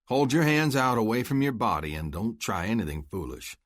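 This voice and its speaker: A man's voice: fairly low pitch, brisk, dry recording, studio quality, voice pushed up. A man voicing a cool bounty hunter who goads and taunts his foe. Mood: steady. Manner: taunting, goading, steady.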